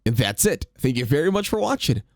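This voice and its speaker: A man's voice: mid-range voice, big pitch swings, brisk, a little mellow, dry recording, clean studio sound. A man hosting a how-to tutorial, narrating scripted steps in a sing-song instructional way. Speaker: tutorial host.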